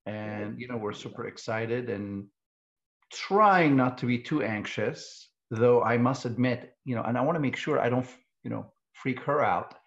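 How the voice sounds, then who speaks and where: A man's voice: medium pitch, moderate pace. A man in his forties from Syria, a guest on a webinar, talking casually from his living room with joking asides and frequent restarts. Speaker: guest, CEO of a civic organization. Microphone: earbud headset on a video call. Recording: dull, lo-fi video call audio.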